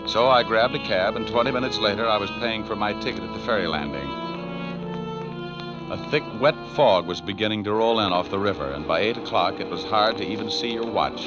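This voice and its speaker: An actor playing a tough, wisecracking private detective in a 1940s radio drama, with an American accent and a wry, clipped delivery. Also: male voice, lowish voice, a little fast, natural voice. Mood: hard-boiled, wry. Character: tough wisecracking male private detective narrating his case. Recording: muffled old 1940s radio recording, music behind.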